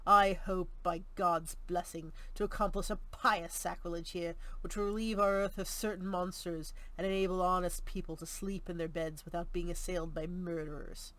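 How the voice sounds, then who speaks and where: A woman voicing a grim, bitter old general in an audiobook, gruff, testy and direct. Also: on the low side, little pitch movement, normal speed, few pauses, a bit bright, natural voice, low-quality recording. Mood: grim, intense. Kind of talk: audiobook character reading.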